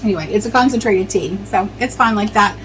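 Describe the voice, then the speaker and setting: A woman's voice: medium pitch, a little fast, fairly bright tone, a little hiss or hum. A middle-aged woman chatting casually at home while working, rambling with many ums and restarts. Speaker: vlogger. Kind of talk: casual chat while preserving herbs.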